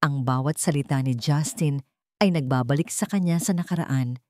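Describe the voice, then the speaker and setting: A woman's voice: low-pitched, moderate pace, mellow, dry recording, clean studio sound. A woman reading a scripted romance story as a dramatic narrator.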